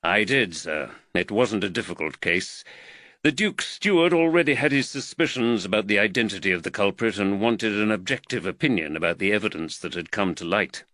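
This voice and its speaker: A man reading an audiobook voices a calm, dry-witted male detective in a plain, measured voice close to his own. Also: fairly low pitch, no room sound.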